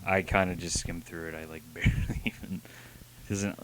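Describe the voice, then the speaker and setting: A man's voice: sits low, steady tone, moderate pace, background noise. A man co-hosting a casual review chat with dry, blunt humour. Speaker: co-host reviewer.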